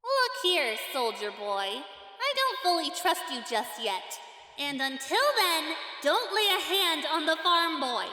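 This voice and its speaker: A woman plays a snarky, nervous teenage cartoon heroine with a very high, bright voice. She rambles in sarcastic, slangy asides, in a reverberant space over faint music. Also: very animated, measured pace, heavily acted. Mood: sassy. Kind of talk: comic parody voice-over.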